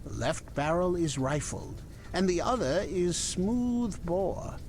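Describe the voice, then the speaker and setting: A man voicing a chatty, obliging weapons merchant from the musket era in a video game, talking in unhurried salesman patter. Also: high-pitched, big pitch swings, darkish tone, slight background noise, heavily acted. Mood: helpful, musing. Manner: unhurried, conversational salesman patter with dramatic pauses. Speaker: game shopkeeper character.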